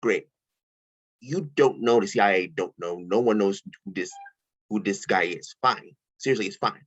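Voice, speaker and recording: A man reading an eccentric, self-assured tech billionaire in a table read. He talks in an animated, rambling way, with a sarcastic edge. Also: lowish voice, normal speed, slightly rough. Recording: dull lo-fi home mic.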